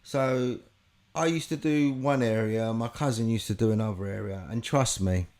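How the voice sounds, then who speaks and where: A man's voice: medium pitch, moderate pace, darkish tone. A man telling candid stories in a podcast chat, blunt and emphatic, swearing freely. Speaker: podcast guest. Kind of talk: candid conversational storytelling.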